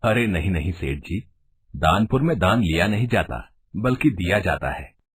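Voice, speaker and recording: A man playing an authoritative, principled villager in an animated storybook tale, with a husky voice. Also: sits low, normal speed, exaggerated voice. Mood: calm, principled. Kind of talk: animated storybook character acting.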